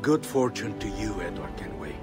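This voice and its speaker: A man voicing a calm, solemn and courteous comrade in a video game cutscene, formal and measured. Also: on the low side, natural voice. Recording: music behind the voice.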